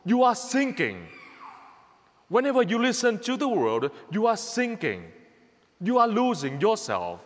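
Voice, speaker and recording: Vietnamese-accented priest, slow and lively. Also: male voice, high voice, long reverb tail. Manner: repetitive, emphatic, exhorting, simple halting English. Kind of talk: homily.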